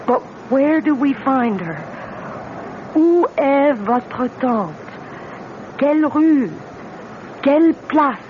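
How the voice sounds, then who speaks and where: A lo-fi old radio recording with faint noise carries a plucky wife. Her pitch moves in lively swings as she speaks with earnest determination. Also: very slow, pauses often, exaggerated voice. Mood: urgent. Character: plucky American wife caught in a hijacking. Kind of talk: vintage radio drama acting.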